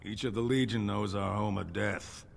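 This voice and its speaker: Gravelly, grim dwarf soldier. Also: male voice, on the low side, fairly even intonation, moderate pace, a little hiss or hum. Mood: stoic, resolute. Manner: solemn, plain-spoken. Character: stoic, grim veteran dwarf legion soldier. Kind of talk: fantasy video game voice acting.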